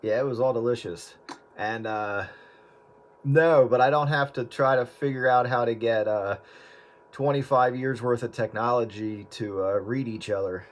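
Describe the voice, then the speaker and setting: A man's voice: mid-range voice, moderate pace, background noise. A man chatting casually about editing old video, rambling with many fillers and restarts. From US Northeast. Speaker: video editor. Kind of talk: casual chat.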